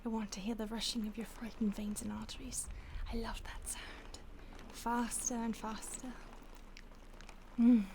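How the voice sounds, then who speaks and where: A woman's voice: on the high side, flat delivery, a little slow, slight background noise. Woman voicing a whispering, teasing vampire. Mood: menacing relish. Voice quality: soft, often whispered. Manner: teasing, mock-polite, savoring. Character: polite, predatory female vampire toying with a captive. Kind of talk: audio roleplay.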